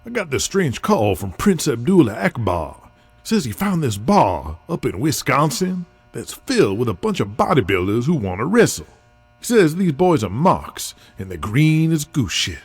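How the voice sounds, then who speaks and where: A man's voice: mid-range voice, sing-song, normal speed, breathy edge. A man playing a brash, persuasive wrestling booker with big plans in an audio drama, talking in a folksy, salty way. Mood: pushy. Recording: music bed under the voice.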